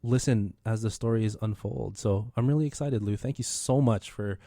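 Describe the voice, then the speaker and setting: Male radio host, eager and rambling, mellow dark tone, dry studio sound. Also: on the low side, moderate pace, no echo. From Philippine heritage. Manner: enthusiastic, rambling with many restarts. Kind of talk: casual radio interview.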